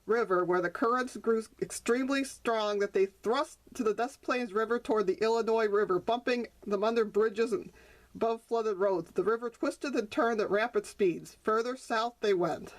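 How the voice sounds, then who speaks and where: A woman's voice: fairly high pitch, normal speed, low-quality recording. A woman reading her own picture book aloud as a storyteller, now and then stumbling and correcting herself for young listeners. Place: indoors at a table over the open book.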